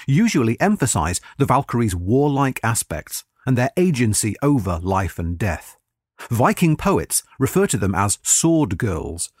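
A man's voice: medium pitch, a little mellow, husky, dry recording, studio quality. A man reading a scripted history article aloud as a narrator.

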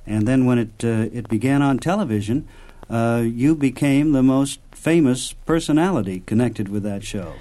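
A man's voice: medium pitch, normal speed, warm tone, poor audio. An American man hosting a radio show, interviewing a guest in a conversational style.

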